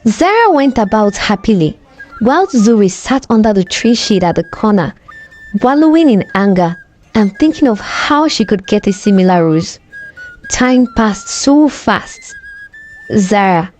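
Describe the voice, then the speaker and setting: A woman narrating a scripted folktale in a dramatic, deliberate storytelling manner. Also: mid-range voice, expressive, darkish tone, slight background noise.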